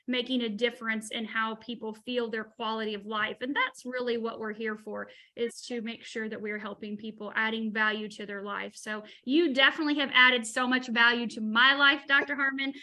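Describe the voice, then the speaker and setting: Woman in her 40s, high bright voice, gushing and chatty on a video call. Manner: effusive and enthusiastic. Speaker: webinar co-host. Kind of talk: casual webinar chat.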